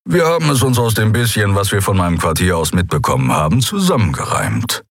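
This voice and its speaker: Man playing a gruff, growling bodyguard. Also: fairly low pitch, sing-song, brisk, dry recording, studio quality, heavily acted. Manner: curt, growling. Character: gruff, stubborn, protective male bodyguard.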